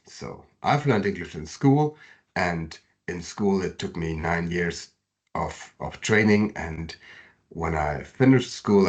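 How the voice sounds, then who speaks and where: A low-voiced German man with a German accent teaches his mother tongue in an earnest, explanatory way, his pitch leaping around. The sound is dull and lo-fi. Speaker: language teacher. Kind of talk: language lesson to camera.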